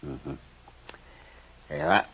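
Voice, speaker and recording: An American actor playing a sly small-time schemer in a 1950s radio crime drama, insinuating and full of false friendliness. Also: male voice, takes it slowly, a bit bright. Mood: needling. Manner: smooth-talking, insinuating, mock-friendly. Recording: dull, muffled old radio recording.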